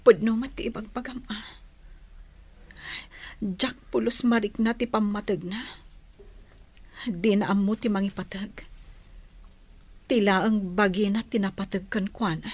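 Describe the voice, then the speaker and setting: A woman's voice: mid-range voice, fairly even intonation, measured pace, long pauses, a little mellow, slightly rough, no echo. A woman playing a long-suffering, aggrieved pregnant village wife in a radio drama, plaintive and reproachful. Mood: sad, resentful. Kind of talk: Ilocano radio drama acting.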